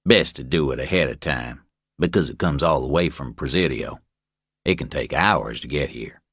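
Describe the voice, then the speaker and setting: A man voicing a cold, boastful Texas ranch hand in an audiobook, speaking with slow folksy menace. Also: a bass voice, a bit bright, slightly rough, no room sound, clean studio sound, heavily acted. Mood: matter-of-fact.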